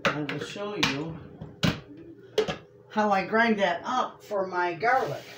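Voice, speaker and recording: A middle-aged woman chatting casually to camera in her home kitchen as she cooks, homey and quick to laugh. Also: on the low side, animated. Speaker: homestead cooking vlogger. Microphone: camera across the kitchen. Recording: noisy home kitchen audio.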